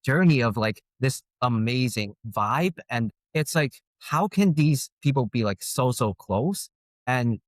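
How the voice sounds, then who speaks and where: Young male host, casual, lots of "like", mid pitch, dry close sound. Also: animated, measured pace, dry recording. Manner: casual, filler-heavy with many "like"s. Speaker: podcast host. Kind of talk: casual interview hosting.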